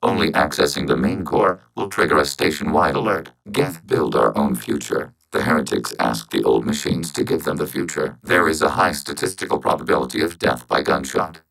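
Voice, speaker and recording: A man voicing a calm, logical video game robot who speaks for a machine collective, with measured, clinical delivery and synthetic processing. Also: rumbling low, creaky, heavily acted, low-quality recording. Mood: detached, analytical. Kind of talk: scripted science fiction video game dialogue.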